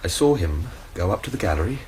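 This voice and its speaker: A man's voice: deep voice, sing-song, quick pace, a little mellow, a little hiss or hum, rough recording. An actor playing an anxious, agitated young male student in a radio drama. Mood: earnest recounting. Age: young adult.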